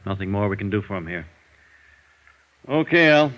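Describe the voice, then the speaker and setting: Low-pitched brisk radio-drama doctor. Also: male voice, animated, fairly bright tone, lo-fi sound. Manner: matter-of-fact, clipped, businesslike. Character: brisk, world-weary male emergency doctor. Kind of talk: old-time radio drama acting. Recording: vintage radio recording, band-limited, faint hiss.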